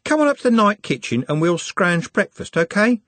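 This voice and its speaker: Man doing a cheeky young lad, lively swooping pitch. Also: normal speed, no echo. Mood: breezy, coaxing. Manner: breezy, cheerful, casual.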